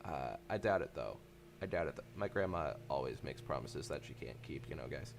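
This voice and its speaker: A man's voice: very deep voice, animated, a little fast, creaky. A man improvising a comedic fandub, stammering and rambling as he ad-libs. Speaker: solo fan-dub performer improvising all voices. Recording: faint background noise.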